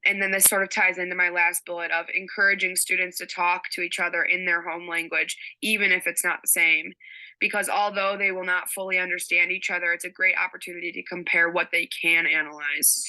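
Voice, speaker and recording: A woman's voice: fairly low pitch, pushed and bright, low-quality recording. A young woman giving a recorded class presentation on a teaching strategy, explaining step by step with frequent ums. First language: English.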